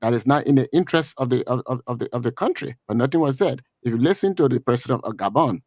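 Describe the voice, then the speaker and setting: A middle-aged man with a Liberian accent comments on politics for a streamed talk show. He speaks at a middle pitch and halts often, repeating words and filling gaps with "uh." His voice is recorded dry and close to the mic. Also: expressive, a little mellow, no room sound. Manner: halting, repeats words often, many fillers.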